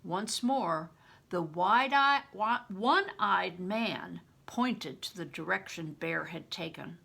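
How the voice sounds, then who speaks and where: An older woman narrates a book with emphatic, deliberate expression. Her voice is bright but husky, with a touch of gravel in it. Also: lowish voice, expressive. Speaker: storybook reader, retired reading teacher. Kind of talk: expressive scripted audiobook narration.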